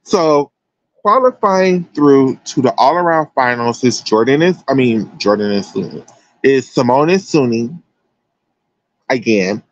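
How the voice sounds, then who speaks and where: A man's voice: medium pitch, expressive, a little slow, no echo, muffled recording. An American man giving casual, chatty sports commentary as a livestream host, emphatic and slangy.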